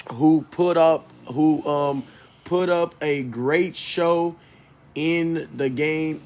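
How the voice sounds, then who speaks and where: A man hosting an informal sports game preview, speaking haltingly and often correcting himself. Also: on the high side. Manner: halting, stumbling delivery with self-corrections.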